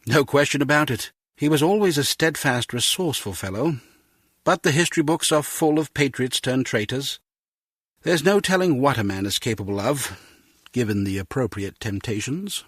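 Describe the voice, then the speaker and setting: An actor voicing a courteous middle-aged spymaster in a video game, speaking in a measured, formal, gentlemanly way. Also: male voice, mid-range voice, breathy edge, no room sound, studio quality. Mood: sober, sincere. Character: courteous middle-aged British spymaster, encouraging ex-field agent. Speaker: spy agency chief.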